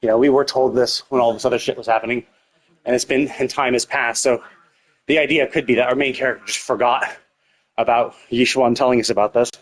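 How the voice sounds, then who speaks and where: A man's voice: mid-range voice, fairly even intonation, fairly quick, poor audio. A man giving casual, speculative game commentary as a live streamer, thinking aloud and often rephrasing himself.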